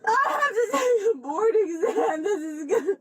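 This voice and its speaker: A young woman in her twenties, crying and pleading as she is detained, shouting through sobs and breaking into tearful laughs. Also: very high-pitched, expressive, moderate pace. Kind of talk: distressed pleading.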